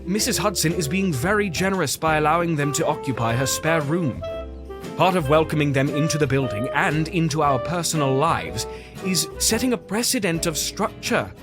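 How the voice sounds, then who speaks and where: An actor playing a caring, exasperated Victorian doctor in an audio drama, fussy, earnest and gently scolding. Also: male voice, on the high side, animated, normal speed, over music, natural voice.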